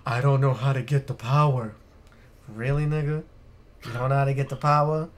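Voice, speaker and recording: A man's voice: mid-range voice, fairly even intonation, normal speed. A man co-hosting a casual video game podcast on a live stream, ranting bluntly with plenty of swearing. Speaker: podcast co-host.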